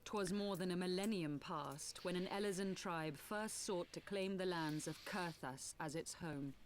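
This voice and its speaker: An actress playing a solemn noble rebel woman in a fantasy game, telling tragic history in formal, archaic language with measured delivery. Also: on the low side, a little hiss or hum, close to an everyday voice. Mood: solemn storytelling. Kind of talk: theatrical fantasy game acting.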